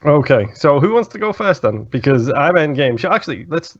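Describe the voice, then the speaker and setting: A man's voice: a little high, big pitch swings, a little fast, dull audio. A man co-hosting a podcast in casual chat, with a wry manner and the odd swear word. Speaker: podcast co-host.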